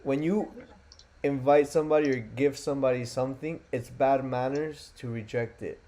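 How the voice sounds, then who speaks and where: A man's voice: mid-range voice, steady tone, normal speed, dark tone. A man hosting a trading livestream, joking with his co-hosts and talking to the chat in casual banter.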